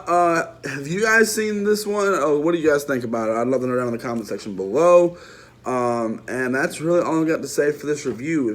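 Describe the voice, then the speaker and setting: A man with a mid-range voice talks through a movie review off the cuff. He rambles, stops and restarts his sentences, and keeps turning to address his viewers directly. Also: mid-range voice. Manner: rambling, lots of restarts and trailing asides. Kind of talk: casual unscripted review.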